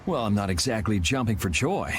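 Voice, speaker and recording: An actor playing a cool, wry young guild vigilante in a video game, speaking in a dry, casual, sardonic way. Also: male voice, lowish voice, very animated, fairly quick, slight background noise. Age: young adult.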